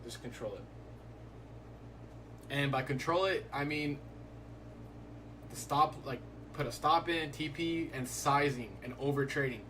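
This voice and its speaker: Young guy, easy-going streamer, mid-pitched voice, loose rambling delivery, faint hiss behind. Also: unhurried, pauses often, a bit bright, poor audio. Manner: laid-back, rambling, fills talk with "like". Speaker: livestreaming day trader. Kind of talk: casual live-stream chat.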